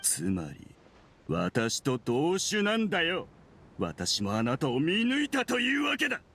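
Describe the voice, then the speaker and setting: An actor playing a bitter, sneering researcher turned criminal, sardonic and argumentative, in an anime-style Japanese game. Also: male voice, medium pitch, big pitch swings, unhurried, breathy edge. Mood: mocking.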